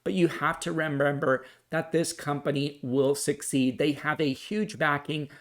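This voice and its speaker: A man's voice: on the high side, flat delivery, measured pace. A man hosting a stock market video, explaining and commenting in an earnest, emphatic way that circles back to key points. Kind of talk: explanatory financial commentary to viewers.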